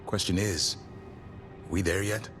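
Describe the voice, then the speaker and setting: An actor playing a sober, blunt fighter in a fantasy video game, with music clearly behind him. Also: male voice, low voice, steady tone, slow pace. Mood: sober questioning.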